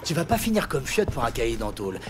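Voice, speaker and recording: An actor playing a foul-mouthed, streetwise police sergeant, mocking and a little uneasy, in a gritty audio drama. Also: male voice, mid-range voice, sing-song, fast talker, background noise, lightly acted. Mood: mocking menace.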